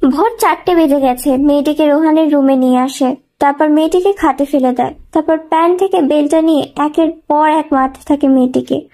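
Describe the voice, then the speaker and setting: A woman's voice: sits high, steady tone, brisk, dark tone. A woman reading scripted narration of a dramatic thriller story as a storyteller.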